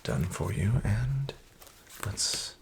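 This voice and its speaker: A man plays a sketchy casino dealer who is smug and looks down on the player. He speaks softly and half-whispers in a very deep, gravelly voice, politely but slyly. It is recorded close to the mic with clear background noise. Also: expressive, extremely slow, heavily acted. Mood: smarmy. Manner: soft, half-whispered, smarmy and falsely polite. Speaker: ASMR roleplayer. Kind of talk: soft-spoken ASMR roleplay.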